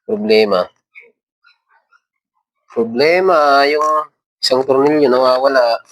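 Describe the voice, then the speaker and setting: A Filipino man with a mid-pitched voice hosts a casual how-to. He talks informally, walking the listener through each step while his hands are busy with the work. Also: takes it slowly, fairly bright tone, poor audio. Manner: informal, instructing step by step while handling parts. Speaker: tutorial host. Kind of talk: casual hands-on tutorial talk.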